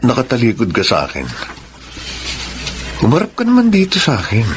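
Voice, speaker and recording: A man's voice: medium pitch, huge pitch swings, moderate pace, background noise. A man with a Filipino accent playing a coaxing, evasive middle-aged husband in a radio drama, with a dramatic delivery. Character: Arman, neglectful husband, coaxing yet evasive. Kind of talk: Tagalog radio drama acting with English mixed in.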